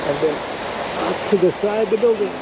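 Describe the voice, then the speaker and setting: Playful man vlogging over loud outdoor noise. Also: sits high, a little fast, creaky. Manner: jokey, playful. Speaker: vlog host in Santa persona. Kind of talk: casual travel vlog chat. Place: outdoors in a temple garden. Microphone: action camera. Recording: loud ambient noise on action camera.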